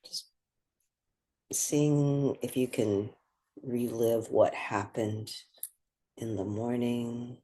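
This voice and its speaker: A person guiding a meditation in a soft, breathy voice, speaking gently and soothingly with unhurried pauses. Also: mid-range voice, steady tone, low-quality recording. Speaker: meditation guide. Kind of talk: guided meditation.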